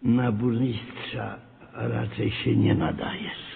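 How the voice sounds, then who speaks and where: Slow, creaky parish priest. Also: male voice, mid-range voice, flat delivery. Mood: stern, dry. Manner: measured, admonishing. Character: stern, shrewd male parish priest. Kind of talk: radio comedy drama acting. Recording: reverberant hall, dull lo-fi sound.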